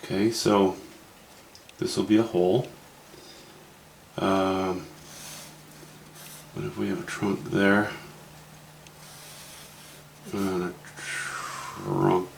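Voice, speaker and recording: A man's voice: low voice, flat delivery, drawn-out words, creaky, long pauses, reverberant, slight background noise, poor audio. A man with a Canadian accent, thinking aloud in a wry, musing way as he walks through a painting demo. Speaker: painting instructor. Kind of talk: casual painting demo narration.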